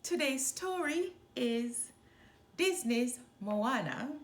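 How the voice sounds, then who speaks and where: Lady doing storytime, high expressive voice, reads real slow, kinda echoey room. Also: a little hiss or hum. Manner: expressive, deliberate read-aloud delivery. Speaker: storytime host reading a children's book aloud. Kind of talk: scripted storybook narration.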